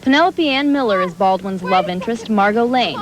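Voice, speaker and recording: A woman's voice: medium pitch, expressive, a little fast, noisy background. A woman reading scripted promotional narration as an entertainment news reporter.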